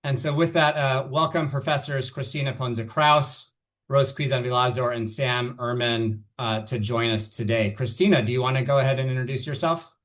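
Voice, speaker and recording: A man's voice: medium pitch, normal speed. Man hosting an online legal panel. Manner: steady, procedural, with frequent fillers. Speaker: webinar host. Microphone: video call.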